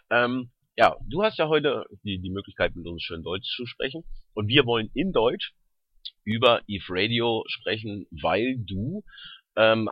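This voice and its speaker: A man hosts a casual podcast and puts relaxed questions to his guest. His voice sits a little low and sometimes drops into quiet asides. The sound is dry and slightly dull, with a narrowed range. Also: no room sound. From southern Germany near Salzburg. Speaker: podcast host.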